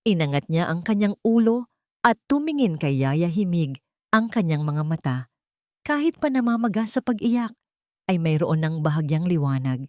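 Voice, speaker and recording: Lady narrator, slightly low voice, reading a dramatic love story script, measured and sentimental, dry close sound. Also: a little mellow, no echo.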